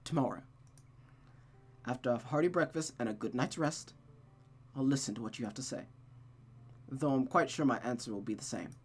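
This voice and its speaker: A reader voicing a doting gentleman lover from a visual novel, gentle, wry and slightly formal. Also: mid-range voice, normal speed, pauses often, husky, lightly acted. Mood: reassuring.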